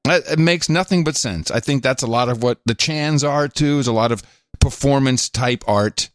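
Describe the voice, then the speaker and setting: A man's voice: mid-range voice, moderate pace, dry recording, clean studio sound. A man co-hosting a talk podcast, chatting casually, reading donor notes and joking around. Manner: animated, laughing, playful mock accents. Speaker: podcast co-host.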